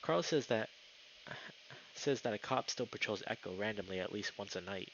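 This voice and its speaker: A man's voice: lowish voice, moderate pace, gravelly, fairly bright tone, dull audio, slight background noise. A man live streaming a game, chatting casually and reading the story text aloud, sometimes tripping over words. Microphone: streaming mic with noise filtering.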